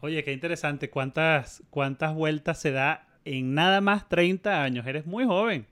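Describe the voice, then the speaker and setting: A man hosting an interview podcast, friendly and engaged, asking questions and reacting quickly. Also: on the high side, fairly bright tone, smooth. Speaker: podcast host.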